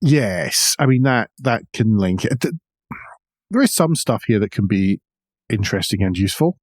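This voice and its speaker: A man's voice: on the low side, animated, moderate pace, a little mellow, breathy edge, no room sound, clean studio sound. A middle-aged man co-hosting a podcast, chatting casually and wryly about the news. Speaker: podcast co-host. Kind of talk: casual discussion of car news.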